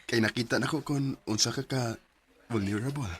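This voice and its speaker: A man's voice: medium pitch, normal speed, breathy edge, close to an everyday voice. A man playing an earnest, lovestruck young man with a Cebuano accent in a Philippine radio drama. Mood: tender pleading.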